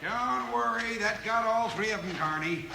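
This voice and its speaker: A gravelly, ruthless western villain. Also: male voice, high-pitched, normal speed. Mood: barking, menacing. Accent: American. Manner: hard-boiled, folksy tough talk. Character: ruthless, scheming male mine-grabbing villain in a western. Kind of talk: 1950s radio western acting. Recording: hissy, clicking 1950s radio recording.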